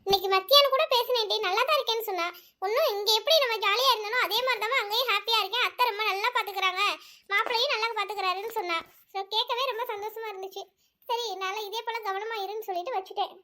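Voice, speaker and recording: A woman's voice: extremely high pitch, fast, bright, forceful tone. A woman voicing a worried aunt who frets and complains about family news. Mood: reassuring. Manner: fretting, complaining.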